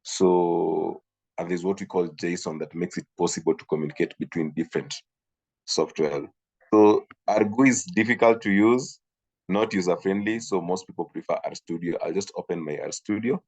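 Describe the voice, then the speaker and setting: Deep-voiced man teaching an online class. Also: moderate pace, darkish tone, breathy edge. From Kenya. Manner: hesitant, repeats himself, frequent fillers. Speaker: instructor. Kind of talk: online training session. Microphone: video call.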